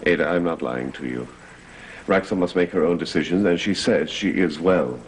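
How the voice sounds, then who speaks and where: An actor playing the troubled, caring master of a gothic household, with an earnest soap opera delivery. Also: male voice, low-pitched, normal speed, slight background noise. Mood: guarded, tense.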